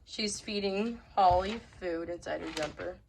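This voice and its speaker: A woman's voice: on the low side, measured pace, vocal fry, slight background noise, low-quality recording. A woman narrating a children's story she wrote herself, reading it aloud.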